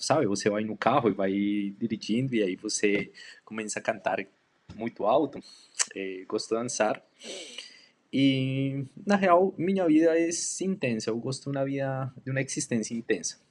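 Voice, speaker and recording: A man from Colombia with a Spanish-tinged accent introduces himself and his work as a dog educator, speaking in a measured way with hesitant pauses and drawn-out words. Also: medium pitch. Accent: Colombian Spanish accent in Portuguese. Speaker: dog trainer and canine educator. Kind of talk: self-introduction talk to a community.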